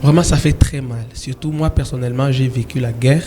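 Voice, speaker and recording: A man from eastern DR Congo, a gospel singer, answering interview questions calmly with hesitant restarts. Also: mid-range voice, fairly quick, slight background noise. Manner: calm, hesitant with repeated restarts.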